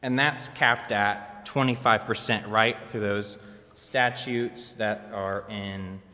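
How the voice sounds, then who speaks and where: A man's voice: lowish voice, steady tone, slow pace, sharp tone. A man speaking as a citizen at a council meeting, methodically putting questions in a public comment. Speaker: citizen questioner, trained in law. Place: indoor council chamber. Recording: echoey reverberant meeting hall.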